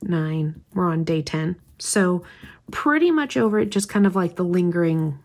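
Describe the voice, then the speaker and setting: Slightly stuffy woman, gravelly, chatting softly. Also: on the low side, expressive, moderate pace. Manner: chatty, thinking aloud, trailing into whispers. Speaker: planner vlogger. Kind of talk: casual craft chat while planning.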